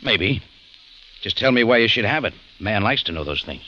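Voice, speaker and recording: Wry hard-boiled American detective type, lively pitch. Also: male voice, normal speed. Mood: tough, guarded. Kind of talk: 1940s radio detective acting and narration. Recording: dull old radio recording, music behind.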